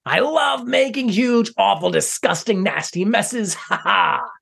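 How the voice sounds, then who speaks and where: A man voicing a messy, boastful villain who shouts with glee in a storybook tale. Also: sits high, animated, a little slow, creaky, breathy edge, voice pushed up, exaggerated voice. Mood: gloating. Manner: loud, boastful and gleeful. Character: messy, gleeful male trash villain.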